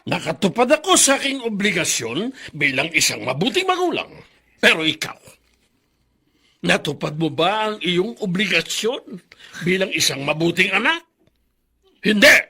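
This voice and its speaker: A Filipino actor playing a stern, domineering older father, scolding in a husky, declamatory voice in a radio melodrama. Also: high-pitched, big pitch swings, normal speed, long pauses, pushed and bright, heavily acted. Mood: angry scolding.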